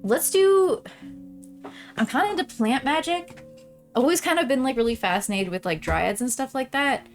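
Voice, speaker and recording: A woman's voice: sits high, expressive, normal speed, soft background music. A woman streamer playing a visual novel, switching between reading narration aloud and casual, informal commentary. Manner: chatty, informal, often trailing off mid-thought. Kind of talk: casual let's-play commentary mixed with read-aloud narration.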